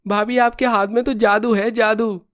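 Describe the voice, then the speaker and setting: A man's voice: sits high, flat delivery, normal speed, fairly bright tone, no room sound, studio quality, voice pushed up. A man playing a cheerful, supportive young brother-in-law in a dramatized moral story. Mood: delighted.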